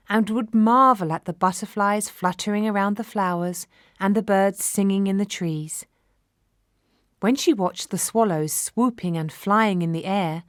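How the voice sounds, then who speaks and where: Female narrator for children's fairy tales: mid-pitched, measured, expressive, very dry recording. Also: no echo. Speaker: storyteller.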